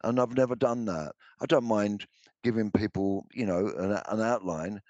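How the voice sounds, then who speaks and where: An older man with a deep baritone voice, answering interview questions about his songs, hesitant with many restarts. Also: moderate pace, a little mellow, no room sound, highs cut off. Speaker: musician guest.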